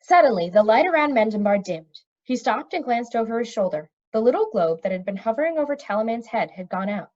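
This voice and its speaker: A woman reading a children's fantasy novel aloud as an expressive storybook narrator. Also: on the high side, brisk, few pauses. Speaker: storybook reader. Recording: dull, lo-fi recording.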